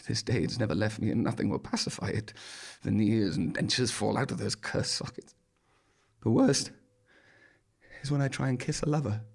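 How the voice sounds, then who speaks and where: A man performing a theatrical horror monologue as a haunted man dwelling on gruesome details, with a husky, gravelly voice. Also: fairly low pitch, normal speed. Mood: anguished, wry. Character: haunted man recounting a grisly hotel nightmare, wry and dramatic. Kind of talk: dramatic monologue performed on stage.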